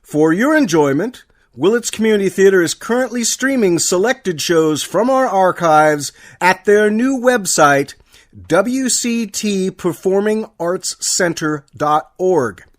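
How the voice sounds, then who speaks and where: A man's voice: high-pitched, expressive, measured pace. A man reading a scripted radio announcement as the show's closing announcer. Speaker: director and credits announcer.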